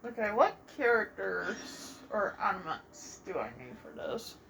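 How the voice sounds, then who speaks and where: A woman's voice: medium pitch, very animated, a little slow, vocal fry, fairly bright tone, a little hiss or hum. A woman giving casual let's play commentary, with muttered, exasperated asides as she plays. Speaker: gamer, let's play host.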